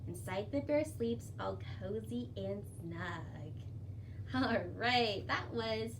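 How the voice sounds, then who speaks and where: A woman storyteller reading picture books aloud to young children in an expressive, deliberate, sing-song way. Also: medium pitch. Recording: distant microphone with faint background noise.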